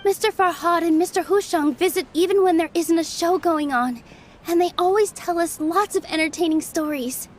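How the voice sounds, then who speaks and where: An actress playing an earnest young theater dancer in a video game, gentle yet resolute and heartfelt. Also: female voice, very high voice, normal speed, slight background noise. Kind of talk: scripted video game dialogue.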